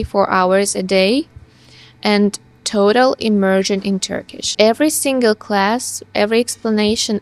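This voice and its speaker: A woman's voice: medium pitch, vocal fry, no room sound. A young woman whose first language is Russian, talking earnestly to camera about learning a language, with small restarts and repeated words. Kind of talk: casual talk to camera.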